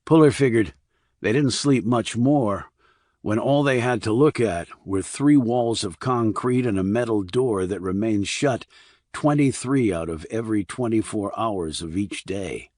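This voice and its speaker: A man's voice: on the low side, a little slow, darkish tone, no echo, clean studio sound. A man reading a crime novel as scripted audiobook narration.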